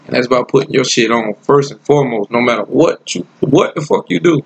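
A man's voice: medium pitch, brisk, creaky, husky, lo-fi sound. A man from South Carolina, talking bluntly and profanely in a casual podcast chat. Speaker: podcast co-host.

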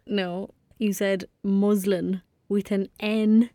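A woman's voice: mid-range voice, flat delivery, takes it slowly, warm tone, no echo, close to an everyday voice. Woman acting as young rural Irish girl, earnest, imaginative, matter-of-fact, audio drama, close dry sound. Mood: teasing, amused.

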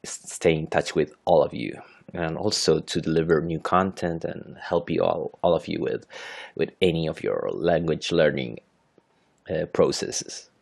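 A man's voice: extremely low pitch, measured pace, vocal fry, breathy edge. A man in his thirties from Chile with a Chilean Spanish accent, a native Spanish speaker hosting an unscripted solo podcast in English, hesitant and full of fillers and self-corrections. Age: 30s. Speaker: podcast host.

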